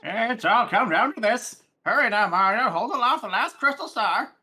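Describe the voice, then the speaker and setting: A streamer reading a solemn guide's lines from a game slowly and gravely. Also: medium pitch, animated, sharp tone.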